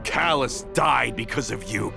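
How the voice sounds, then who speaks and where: An actor playing a bitter, vengeful traitor in a video game, with menacing, dramatic delivery and a gravelly voice. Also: male voice, mid-range voice, music in the background. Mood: bitter, accusing. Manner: dramatic, menacing, drawn-out emphasis. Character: bitter vengeful man, a traitorous gang member, seething with hatred.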